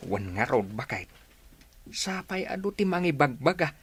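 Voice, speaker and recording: Relaxed poor country dad, chatty, animated voice. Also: male voice, a little high, normal speed, husky, no echo. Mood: easygoing. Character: easygoing poor village father.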